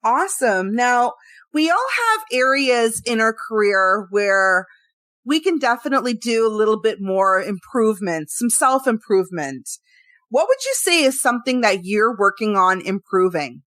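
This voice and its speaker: A woman hosts a relaxed music interview, cheering her guest on with quick affirmations. Her voice sits a little high. The recording is dry and close. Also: dry recording. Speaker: interview host. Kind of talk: casual interview chat.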